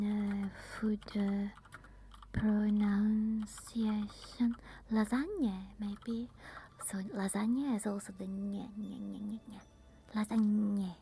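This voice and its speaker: A woman with an Italian accent, softly whispering while chatting with viewers on an ASMR live stream. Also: mid-range voice, a little hiss or hum. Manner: soft whispering.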